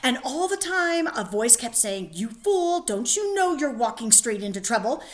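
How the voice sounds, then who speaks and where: A woman's voice: on the high side, big pitch swings, moderate pace. A woman voicing a frightened male soldier who rambles nervously and stammers. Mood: flustered. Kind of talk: dramatic reading of a play.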